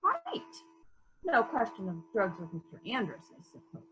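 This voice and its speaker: A woman playing a brisk, authoritative police detective who puts pointed questions in a murder mystery reading, on slightly dull lo-fi audio. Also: fairly high pitch, wildly swooping pitch, fairly bright tone, slight background noise. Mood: probing, brisk.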